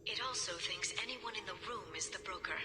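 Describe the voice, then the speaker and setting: Woman playing an earnest alien scholar, loud music behind. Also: mid-range voice, fairly quick, close to an everyday voice. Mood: warm, thoughtful. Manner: earnest, hesitant, sincere. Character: gentle, earnest young alien woman, scholarly information broker. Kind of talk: video game voice acting.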